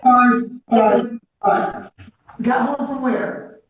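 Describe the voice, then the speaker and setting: A woman presiding as a judge in court, speaking in a firm, measured way and stopping people to question them. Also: on the high side, steady tone. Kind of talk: court proceedings over video. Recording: echoey and distant with faint noise.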